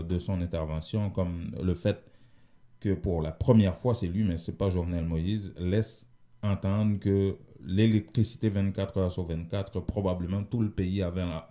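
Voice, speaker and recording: A man's voice: deep voice, dark tone. A man hosting a radio news show, talking listeners through items in a conversational, explanatory way.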